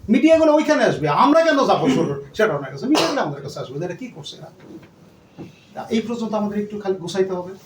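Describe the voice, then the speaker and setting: High-pitched guy giving a speech to a crowd, roomy echo, noisy background. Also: big pitch swings, quick pace. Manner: earnest, repetitive, mixes English words. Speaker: company leader addressing shareholders. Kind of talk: speech to a gathering. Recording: echoey room, distant mic, background noise.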